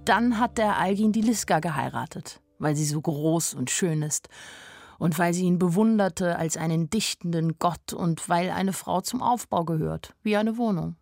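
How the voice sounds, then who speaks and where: A woman's voice: lowish voice, normal speed, vocal fry, a little mellow, no echo, clean studio sound. An actress playing a candid, naive nineteen-year-old woman who tells her own story plainly in a radio drama. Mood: candid, wry. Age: young adult. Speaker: actress narrating as the main character. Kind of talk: radio drama first-person narration.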